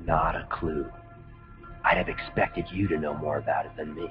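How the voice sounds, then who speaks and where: An actor playing an earnest, steady American soldier who explains things seriously in a video game cutscene. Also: male voice, rumbling low, moderate pace. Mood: weary, grave. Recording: dull lo-fi game capture. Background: loud music.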